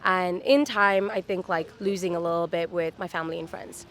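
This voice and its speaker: A woman's voice: on the low side, steady tone, normal speed, a bit bright, slight background noise. A woman in her thirties from Dubai, chatting upbeat as a street interview guest, with many fillers. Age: 30s. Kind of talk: casual chat. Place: outdoors on a city street.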